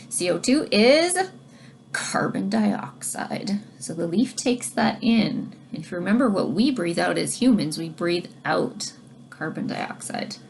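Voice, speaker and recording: A woman reading a children's science book aloud like a teacher, expressively, pausing to explain things directly to young listeners. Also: medium pitch, vocal fry, darkish tone, a little hiss or hum. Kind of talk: read-aloud storytelling with explanatory asides to children.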